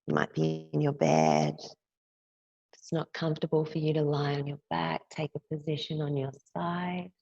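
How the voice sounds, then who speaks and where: A woman teacher leading a guided yoga nidra meditation in a gentle, soothing, unhurried way, leaving long pauses between phrases. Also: deep voice, animated, gravelly, no echo, dull audio, faint music behind.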